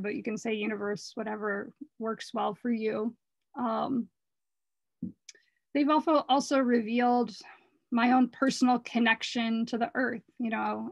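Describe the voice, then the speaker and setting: A hoarse woman talking casually about her art over slides, earnest and rambling, with frequent restarts. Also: a little high, no room sound, lo-fi sound. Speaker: artist presenting her work.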